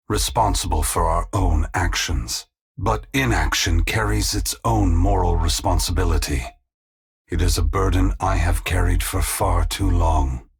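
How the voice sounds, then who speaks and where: An actor playing a solemn, compassionate ancient god in a video game, speaking formally and gravely in a deep, gravelly, husky, measured voice. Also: male voice, exaggerated voice. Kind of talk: dramatic video game dialogue.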